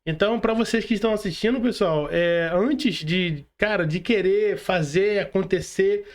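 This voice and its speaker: High-voiced Brazilian man hosting a casual podcast. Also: normal speed. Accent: Brazilian Portuguese. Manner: informal, slangy, addresses listeners directly. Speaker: podcast host.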